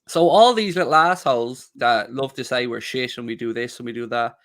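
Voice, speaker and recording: A man's voice: mid-range voice, brisk, a bit bright. A young man hosting a casual podcast, talking bluntly and defiantly and swearing freely. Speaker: podcast host.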